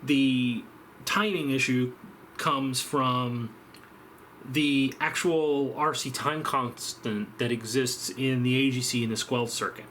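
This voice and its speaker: A man giving an informal, unscripted technical explanation as a demonstrator, halting as he thinks aloud. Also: medium pitch, fairly bright tone, slight background noise. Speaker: presenter of an electronics demonstration.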